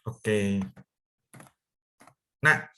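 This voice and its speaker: A man's voice: extremely slow, bright, forceful tone. A young man from Indonesia teaching an English lesson over a video call, explaining in simple steps and checking in with the students. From Medan, North Sumatra, Indonesia. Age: young adult. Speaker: online English tutor. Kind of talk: live virtual class teaching.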